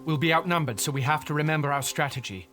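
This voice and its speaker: A man's voice: fairly high pitch, fast, fairly bright tone, natural voice. Man playing a stuffy pirate captain, formal, stiff, stubborn, audio drama, music behind. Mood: commanding, stern. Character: James Hux, stuffy, stubborn, exasperated banker turned pirate captain.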